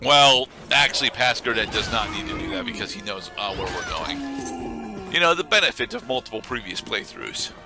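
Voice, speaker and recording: A man's voice: medium pitch, big pitch swings, moderate pace. A man doing casual playthrough commentary as co-host of a gaming video, rambling and correcting himself as he goes. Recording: game music behind the voice. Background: video game music and sound.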